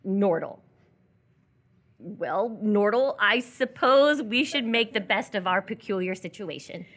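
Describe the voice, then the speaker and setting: A woman playing a coy, lonely young captive in live radio theatre, playful and evasive, with hesitant pauses. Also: medium pitch, expressive, a bit bright. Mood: friendly, wistful.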